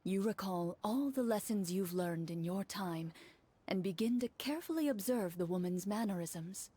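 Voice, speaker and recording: An actress playing a young woman who narrates and runs a tabletop game inside a video game, describing scenes in a measured way. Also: mid-range voice, lively intonation, dark tone, husky. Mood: narrating.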